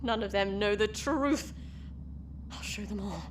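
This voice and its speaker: A woman's voice: mid-range voice, measured pace, slight background noise, natural voice. A woman voicing a vain, scheming empress villain who gloats theatrically and snaps into sudden outbursts. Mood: gloating. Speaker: voice actor. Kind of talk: hobby audio drama acting.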